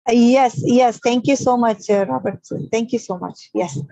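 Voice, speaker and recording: A woman's voice: a little high, normal speed, gravelly, warm tone, dry recording, dull audio. Indian woman asking, close dry sound. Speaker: audience questioner. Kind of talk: hesitant spontaneous question.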